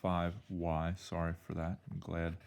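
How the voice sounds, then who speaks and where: A male math teacher, slightly hoarse, talking through an algebra problem in an upbeat, playful way with jokey exclamations. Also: low voice, completely flat delivery, unhurried, a little mellow. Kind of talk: recorded algebra lesson walkthrough.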